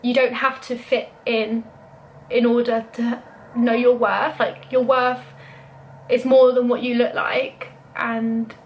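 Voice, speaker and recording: Young british girl vlogging, kinda high voice, says like a lot. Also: slight background noise, poor audio. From Britain. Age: young adult. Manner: chatty, lots of "like" fillers. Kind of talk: casual chat.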